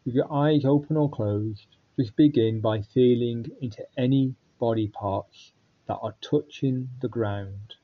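Slow-speaking man guiding meditation. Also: mid-range voice, dull audio. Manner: calm, unhurried, with long pauses. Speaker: meditation guide. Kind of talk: guided body scan meditation.

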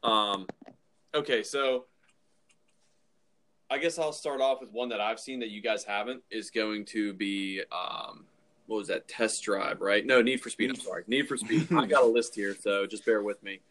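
Low-voiced male podcast host, casual and rambling. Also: moderate pace, a bit bright, a little hiss or hum. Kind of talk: casual movie discussion.

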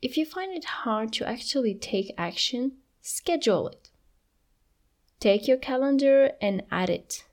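Woman podcast host, slightly high voice with some fry, encouraging and reassuring. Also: expressive, unhurried. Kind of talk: solo podcast advice talk.

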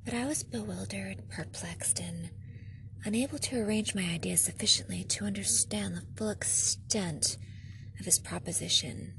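A woman's voice: fairly low pitch, gravelly, background noise. A woman hosting a story podcast, chatting informally and narrating with theatrical delivery. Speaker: podcast host and reader.

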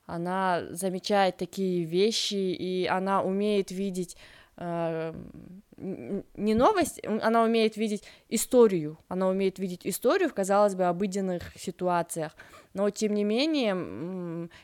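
Female podcast co-host, mid-range voice, halting and informal. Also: mid-range voice. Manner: hesitant, many fillers and restarts, animated when quoting. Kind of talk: casual book discussion.

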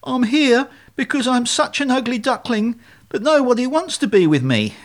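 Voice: mid-range voice, wildly swooping pitch, a little slow, voice pushed up. A performer voicing a naive, dim young swan who believes he is an ugly duckling, self-pitying and childlike in a storybook tale.

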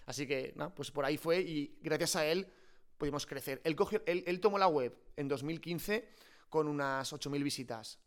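A man's voice: on the high side, moderate pace. A man from Spain with a Peninsular Spanish accent, telling stories informally and colloquially as a podcast guest. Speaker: podcast guest, startup co-founder. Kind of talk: improvised conversational interview.

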